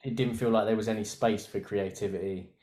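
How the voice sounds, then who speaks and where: A man's voice: lowish voice, fairly even intonation, fairly quick, mellow, reverberant, a little hiss or hum. A man in his thirties, a designer guest on a chat podcast, who rambles and thinks aloud with many restarts. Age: 30s.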